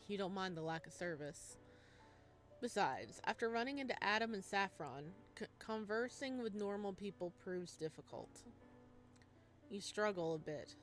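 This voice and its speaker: A woman gaming streamer reading a visual novel aloud, with expressive narration and playful, casual asides. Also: medium pitch.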